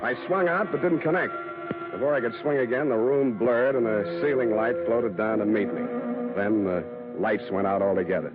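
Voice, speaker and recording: Actor playing a wry hardboiled radio private eye, thin sound. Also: male voice, mid-range voice, normal speed, phone-quality sound, lightly acted. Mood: hardboiled, tense. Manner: dry, sardonic, slangy, with drawling hesitations. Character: wry, hardboiled male private detective, cool and wisecracking. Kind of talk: 1940s radio acting, noir narration and dialogue. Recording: thin vintage radio recording with music.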